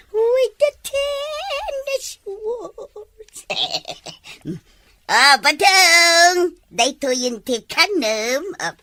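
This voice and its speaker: A giddy village woman with an Ilocano accent who drawls her words very slowly, her high, bright voice bouncing up and down as she sings little snatches of song, played by a woman. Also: exaggerated voice. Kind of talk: comic drama acting.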